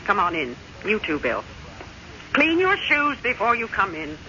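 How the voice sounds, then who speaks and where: A woman's voice: medium pitch, wildly swooping pitch, unhurried. A woman playing an evil, imperious dead stepmother who has possessed a young woman, scornful and commanding, in a radio mystery drama. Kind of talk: 1970s radio mystery acting. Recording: dull old radio recording, crowd noise behind.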